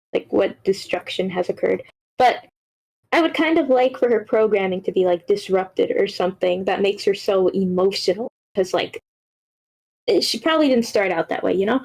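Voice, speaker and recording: A woman's voice: medium pitch, darkish tone, poor audio. A woman giving casual, rambling script feedback in an informal way, peppering it with "like". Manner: informal, rambling, frequent "like" and swearing. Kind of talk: casual spoken critique.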